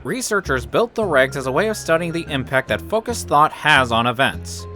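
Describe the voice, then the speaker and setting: Keen brisk male teacher lecturing, slightly high voice, faint music behind. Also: big pitch swings, bright, forceful tone. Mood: instructive, keen. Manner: explanatory, emphatic. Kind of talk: classroom lecture in a comic dub.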